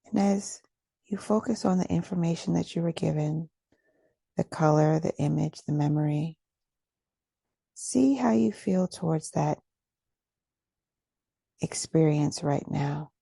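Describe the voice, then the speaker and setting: A woman leading a guided meditation as a teacher, speaking slowly, gently and deliberately in a breathy, soothing voice with long pauses. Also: sits low, no room sound.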